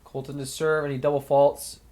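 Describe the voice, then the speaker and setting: A man's voice: a little high, little pitch movement, a little fast, warm tone. Nasal young man calling plays. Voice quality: slightly nasal from a cold. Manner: casual, thinks aloud between calls. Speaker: sports commentator. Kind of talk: solo play-by-play commentary.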